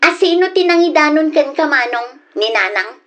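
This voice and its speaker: A woman's voice: high-pitched, expressive, moderate pace, a crowd in the background. A woman playing a disrespectful, impatient grown daughter in a radio drama, whiny and snappy, with an Ilocano accent. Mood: defensive.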